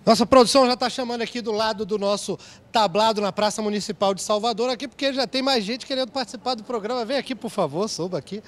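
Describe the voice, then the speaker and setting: A man's voice: high voice, quick pace. A Brazilian man working as a TV reporter, informally interviewing people live in a public square. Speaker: TV reporter hosting audience participation. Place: outdoor public square, on a small stage. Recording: outdoor TV recording with faint street noise.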